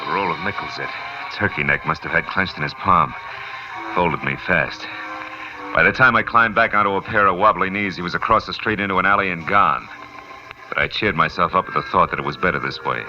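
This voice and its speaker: An actor playing a tough, wry private detective who narrates in clipped 1950s radio noir style. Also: male voice, sits low, brisk, natural voice. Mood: wry, battered. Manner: hard-boiled, wry, clipped. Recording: muffled vintage radio with music.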